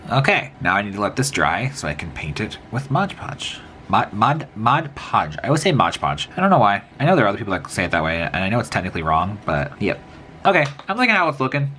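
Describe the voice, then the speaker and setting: Brief: sniffly man, low-pitched, lively and quick, rambling to himself while he builds miniatures, faint room noise. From American Midwest. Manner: chatty, self-talking, rambling asides while working. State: sniffly from a cold. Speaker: miniature crafter. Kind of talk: casual crafting vlog narration.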